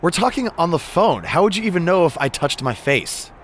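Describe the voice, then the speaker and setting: A man's voice: on the high side, sing-song, brisk. A man playing an affable, easily exasperated veteran wrestler bantering as a show co-host in a game cutscene.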